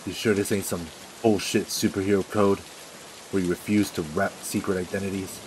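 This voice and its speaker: A man playing a gruff, suspicious mob thug in a comic dub, grumbling and streetwise. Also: low-pitched, flat delivery, normal speed, close to an everyday voice. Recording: faint rain noise behind.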